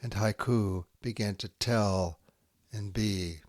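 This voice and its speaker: A man reads spare haiku at an extremely slow, deliberate pace. His voice sits fairly low, and he sets out each short phrase with care. The sound is close and dry, with very little room around it. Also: a little mellow, no echo. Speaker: podcast host reading poetry.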